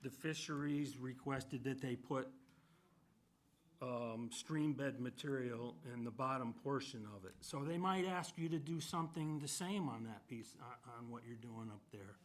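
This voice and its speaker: A man's voice: medium pitch, a little slow. A man explaining things informally and matter-of-factly as a commission member at a public meeting. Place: indoor municipal meeting room. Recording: noisy town meeting room.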